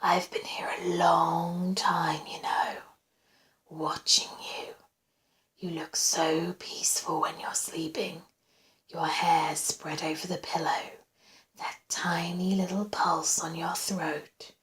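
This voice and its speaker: A woman voicing a menacing, obsessive male stalker in a soft, breathy whisper, slow and intimate, for a suspense audiobook. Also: medium pitch. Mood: calm, menacing. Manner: slow, commanding yet gentle, intimate.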